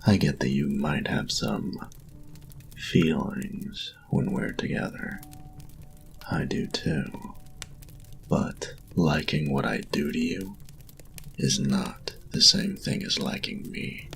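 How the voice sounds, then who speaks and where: Man playing a very deep, gravelly, smug bully. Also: a bit bright, faint music behind, exaggerated voice. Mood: dismissive. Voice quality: gravelly, often dropping to a whisper. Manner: taunting, breathy, drawn-out delivery. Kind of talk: intimate roleplay audio acting.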